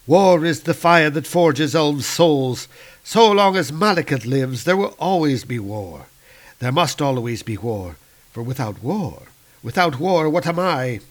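A cruel dark elf lord, as a man puts him on while reading a comic aloud. He speaks bitterly and menacingly with a raised voice. He sounds vengeful and proud. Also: fairly high pitch, lively intonation, unhurried, voice pushed up. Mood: bitter, raging.

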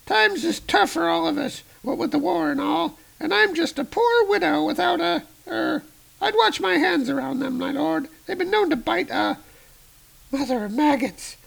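A scheming old widow who peddles hunting dogs, put on by a man reading a comic aloud. Her voice is shrill and gravelly, swooping up and down as she fawns and wheedles. She sounds like a poor, grasping storybook crone. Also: lively intonation, moderate pace, fairly bright tone, exaggerated voice. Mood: wheedling.

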